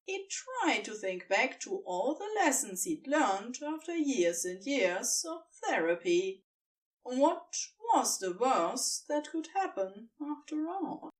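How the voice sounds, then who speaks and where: Female narrator for fan fiction. Lively intonation, unhurried pace, expressive storytelling, a little off-mic. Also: fairly high pitch, far from the mic.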